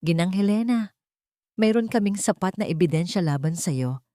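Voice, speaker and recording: A woman's voice: fairly low pitch, animated, normal speed, warm tone, no room sound, studio quality, close to an everyday voice. A woman voicing a firm, formal male police officer in an audio drama.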